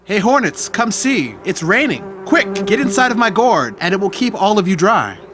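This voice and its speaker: A man plays a clever male spider god, a coaxing trickster from a folktale. The delivery is very animated and earnest, with a little background noise. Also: high voice, normal speed. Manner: wheedling, expressive. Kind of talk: storybook audio drama acting.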